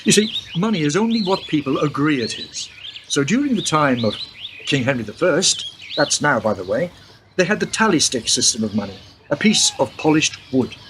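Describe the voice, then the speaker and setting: A man's voice: on the high side, big pitch swings, normal speed. An actor playing a knowing guide who patiently explains money to a young woman, in a radio play. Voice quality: patient, lecturing. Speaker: guide character. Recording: background noise.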